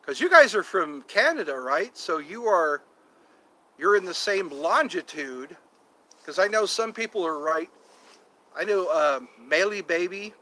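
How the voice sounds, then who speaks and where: A man's voice: high-pitched, animated, measured pace, pushed and bright, a little hiss or hum, poor audio. A man in his fifties hosting a casual livestream, chatting to viewers in a meandering way and slipping into quoted voices. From United States. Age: 50s. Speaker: livestream host.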